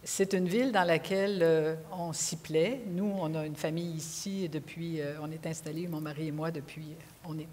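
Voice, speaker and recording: Low-voiced older Quebec woman on stage. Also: fairly even intonation, moderate pace, hardly any pauses, darkish tone. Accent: Quebec French. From Quebec, Canada. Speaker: panelist, retired judge. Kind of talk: unscripted panel discussion. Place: large auditorium stage. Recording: reverberant hall, noisy background.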